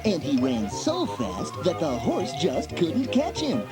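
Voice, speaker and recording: A man reads a children's story at an unhurried pace. His narration is expressive and dramatic, rising and falling widely. Music plays clearly behind him. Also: on the high side.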